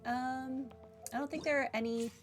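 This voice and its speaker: A woman's voice: high-pitched, steady tone, moderate pace. Dry, wry woman streamer over game music. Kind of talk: casual game livestream commentary.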